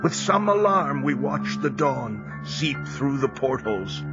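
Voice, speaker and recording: An actor narrating an audio drama as a former American sailor recounting his captivity, solemn, measured and dramatic. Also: medium pitch, very animated. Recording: dull recording under loud music.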